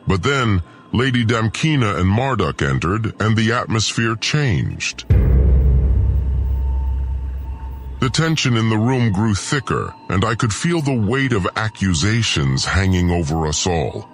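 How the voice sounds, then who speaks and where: A man's voice: fairly low pitch, very animated, measured pace, slight background noise. A man voicing an arrogant, scheming alien prince, pompous and sneering, narrating his own audio drama. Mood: gloating.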